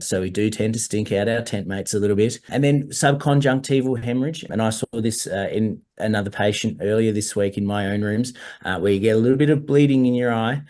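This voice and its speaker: A hoarse man, a doctor explaining altitude medical issues in a measured way during a webinar over a video call. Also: lowish voice, a little mellow. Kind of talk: webinar presentation.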